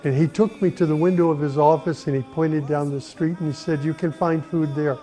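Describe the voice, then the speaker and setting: Middle-aged guy from the states, earnest and slow, a bit high voice, soft music under it. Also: fairly even intonation. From United States. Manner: earnest, unhurried, with pauses and restarts. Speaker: guest telling his life story. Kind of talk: personal testimony, reflective storytelling. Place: Saudi Arabia.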